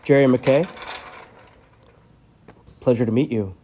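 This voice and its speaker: A menacing doctor in a horror audio play, a man with a middling pitch. He is cold, controlling and condescending, speaking deliberately and imperiously, as if every word were an order. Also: mellow. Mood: cool, probing.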